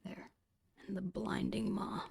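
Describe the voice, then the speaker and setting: A woman's voice: mid-range voice, level tone, a little slow, darkish tone, no room sound. A woman whispering in an ASMR style, casually thinking aloud in short phrases as she narrates her own digital painting. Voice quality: breathy whisper.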